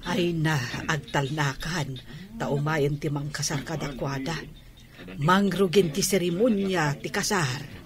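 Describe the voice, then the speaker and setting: A woman's voice: deep voice, unhurried, a crowd in the background. A woman with an Ilocano accent playing an older mother, fretful and scolding, with sighing interjections in a radio drama. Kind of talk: Filipino radio drama acting.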